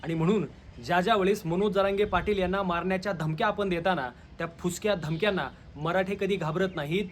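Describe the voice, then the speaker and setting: A man with a high-set voice and a Maharashtrian Marathi accent gives opinion commentary to his audience. His delivery is rhetorical and confrontational. Slight background noise sits under the recording. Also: steady tone, fast. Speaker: video commentator, channel host. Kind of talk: opinion commentary addressed to viewers.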